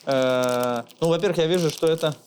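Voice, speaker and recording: A man's voice: fairly high pitch, fairly quick, darkish tone. A man hosting a casual interview, inquisitive and often echoing answers back as questions. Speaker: interviewer, travel show host. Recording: noticeable background noise.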